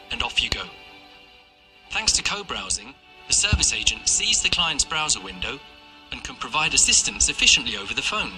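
A man's voice: medium pitch, sharp tone. A man reading a scripted corporate explainer video, polished and emphatic, as a promotional narrator. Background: music.

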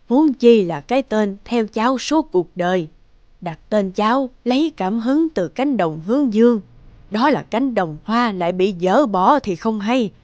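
A woman voicing a gentle, devoted grandmother who tells a family tale in a measured, tender cartoon storytelling voice. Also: mid-range voice, dry recording, highs cut off, close to an everyday voice. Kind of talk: animated storytelling.

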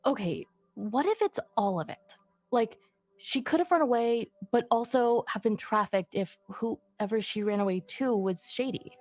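Woman, podcast co-host, reactive and questioning, slightly high pitch, dry. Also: animated, measured pace, darkish tone, dry recording, soft background music. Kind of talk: true crime podcast chat.

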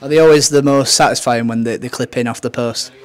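Male sports interviewer, medium pitch, asking conversational post-match questions for a football club. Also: fairly even intonation, quick pace. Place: indoors, off camera.

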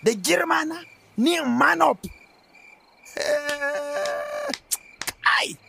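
A man with a Northern Nigerian accent, playing a boastful, touchy village elder who talks in an animated, exclamatory way in a radio drama. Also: extremely high pitch, extremely slow, vocal fry, noisy background, exaggerated voice. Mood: defensive. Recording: busy market crowd behind. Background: market crowd chatter.

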